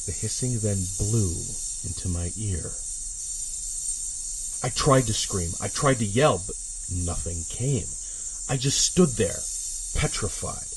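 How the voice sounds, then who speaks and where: A man's voice: lowish voice, a little hiss or hum, low-quality recording. A man narrating a horror story in the first person, with a dramatic, suspenseful delivery. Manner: measured, suspenseful delivery with hushed drops and intense swells.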